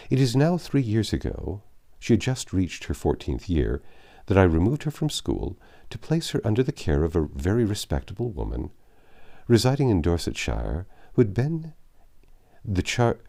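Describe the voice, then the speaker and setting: A deep, mellow voice for a grave middle-aged English gentleman in a storybook, acted out by a male narrator. He speaks formally and earnestly, halting at times, with sorrow held in check and a lively rise and fall. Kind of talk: dramatic audiobook reading of classic novel.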